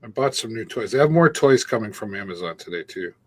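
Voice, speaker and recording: A man's voice: on the low side, expressive, brisk. Man walking through a streaming setup. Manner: explains tech step by step, chuckles, trails off. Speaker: co-host demonstrating streaming setup. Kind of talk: casual live-stream chat. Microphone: Bluetooth earpiece.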